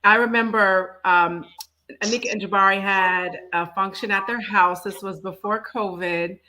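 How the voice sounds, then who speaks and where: A woman with a bright, forward voice at a middle pitch hosts a casual talk. She is effusive and chatty, telling things playfully as she welcomes people. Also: fairly even intonation, normal speed, a little hiss or hum, rough recording. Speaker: talk show host. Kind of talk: casual welcoming conversation and introduction.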